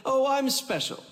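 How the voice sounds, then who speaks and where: A smug anime game villain speaks in a high, very animated voice. He is theatrical and condescending, drawling with mockery. Long reverb surrounds him and music plays underneath. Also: full character voice. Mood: mocking. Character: smug, flippant male schemer, theatrical and condescending. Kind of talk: English anime game dub acting.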